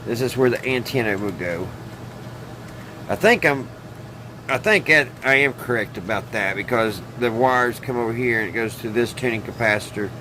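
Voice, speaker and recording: A man's voice: medium pitch, moderate pace. A man hosting a casual hobby workbench video, rambling and hesitant as he thinks aloud. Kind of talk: casual workbench vlog about old radios. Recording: clearly noisy room, steady hum.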